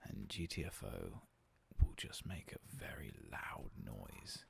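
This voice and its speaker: A man with a hoarse, coarse voice, talking softly and half-whispering as he plays a game in a relaxed, rambling way. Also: extremely low pitch, slow, noisy background. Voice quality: coarse, gravelly voice. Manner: soft, half-whispered, rambling and self-deprecating. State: hoarse after a long work shift. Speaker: gaming ASMR host. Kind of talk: soft-spoken ASMR gameplay commentary.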